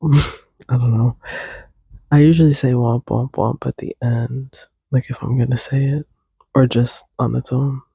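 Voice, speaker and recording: Young guy rambling on his podcast, stuffy nose, gravelly and dark, dry close mic. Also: mid-range voice, fairly even intonation, measured pace, no echo. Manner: casual, tangent-prone, hums and sings tunes. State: congested. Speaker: podcast host. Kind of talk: rambling solo podcast chat.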